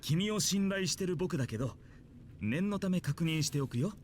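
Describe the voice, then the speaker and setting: Guy voicing a nervy easygoing teacher in a visual novel, talks fast, noisy ambience behind. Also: fairly high pitch, expressive, close to an everyday voice. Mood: cautious, pleading. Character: mild, jokey, nervous male schoolteacher. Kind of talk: Japanese visual novel voice acting.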